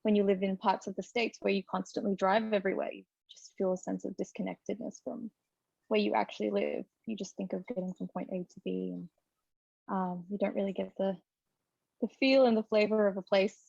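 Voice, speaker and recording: A woman from the Philippines, speaking as a conference panelist in a thoughtful, steady way with some fillers. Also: medium pitch, brisk, gravelly, breathy edge, no room sound. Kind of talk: reflective personal talk. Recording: dry muffled lo-fi audio.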